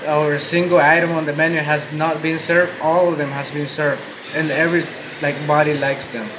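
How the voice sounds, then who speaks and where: A man's voice: a little high, little pitch movement, brisk, background noise. A young man from Guatemala, co-owner of a restaurant, answering questions in a casual interview, often stopping and restarting his sentences.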